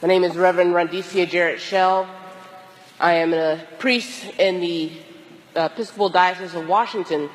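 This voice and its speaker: A hoarse, tired woman priest with a cold gives a slow, deliberate sermon with long pauses. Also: lowish voice, steady tone, big-room sound, slight background noise, low-quality recording. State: hoarse, losing her voice from a cold; exhausted. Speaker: Episcopal priest. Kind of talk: reflective sermon.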